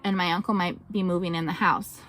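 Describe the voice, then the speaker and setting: A woman's voice: lowish voice, steady tone, a little fast, a bit bright, a little hiss or hum. A woman homesteading vlogger talking casually to camera in a chatty, rambling way. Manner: chatty and rambling, reads out seed names.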